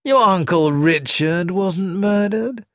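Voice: mid-range voice, lively intonation, slow pace, no room sound, pitched above the performer's own voice. A narrator voicing a composed, pleasant young man who talks unhurriedly, in a dry studio recording. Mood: calm.

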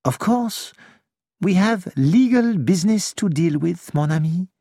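A reader voicing an elderly male detective who speaks gently and slowly, with a self-assured manner. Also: medium pitch, lively intonation, dry recording, clean studio sound, pitched above the performer's own voice. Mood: measured. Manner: gentle, measured, slow.

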